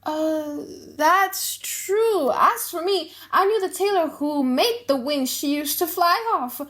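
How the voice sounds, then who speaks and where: Gossipy, mocking young gentleman, played by a woman, high voice, chatty delivery for audiobook drama. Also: slow pace. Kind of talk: dramatic audiobook reading of a play.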